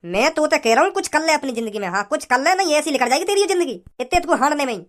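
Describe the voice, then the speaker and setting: A man playing a scolding, preachy village father in a comedy cartoon, with a pitched-up voice. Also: lively intonation, racing, a bit bright. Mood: angry, threatening. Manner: preachy, repetitive, hectoring.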